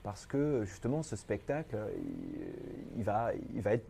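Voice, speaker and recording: A man's voice: lowish voice, wildly swooping pitch, a little slow, vocal fry, background noise. A middle-aged man from France, a stage performer, chatting in an interview, hesitant and repeating his words. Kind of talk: casual interview. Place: TV studio.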